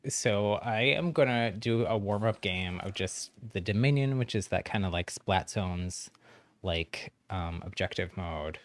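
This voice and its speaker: Male livestreamer, lowish pitch with plenty of vocal fry, friendly and encouraging, casual explainer for new players. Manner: chuckles often while talking. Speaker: game streamer. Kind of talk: casual livestream chat explaining a game to newcomers.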